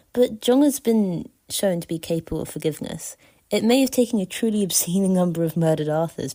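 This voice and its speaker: Female listener voice message: lowish, mellow tone, articulate, wordy, playfully dramatic opinion. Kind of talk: prepared opinion in casual voice message.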